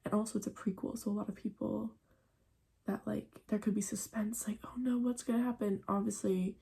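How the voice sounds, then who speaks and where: A woman's voice: mid-range voice, little pitch movement, brisk, gravelly, mellow, breathy edge. A young woman chatting casually at home in a book vlog, full of "like" and "um" fillers. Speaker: book vlogger. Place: at home in front of a bookshelf.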